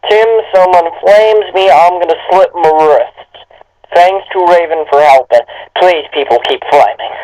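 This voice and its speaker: Guy with a highish voice reading a story out loud, sarcastic, can't believe what he's reading. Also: measured pace, poor audio. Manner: sarcastic, incredulous. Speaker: co-host of a comedic reading. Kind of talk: dramatic reading with mocking asides.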